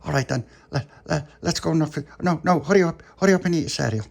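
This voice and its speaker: An excitable little puppy from a children's story, acted out by a man, pitched up high. The manner is affectionate and bossy, gushing and eagerly repeating words. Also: fast, creaky. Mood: eager, excited.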